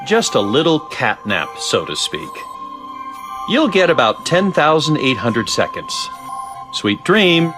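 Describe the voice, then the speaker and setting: An actor playing an eccentric, cheerful scientist in a video game cutscene, theatrical and gleeful with precise phrasing. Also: male voice, fairly high pitch, big pitch swings, slow pace, music in the background. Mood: soothing, playful. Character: eccentric, cheerful male scientist, giddy about data.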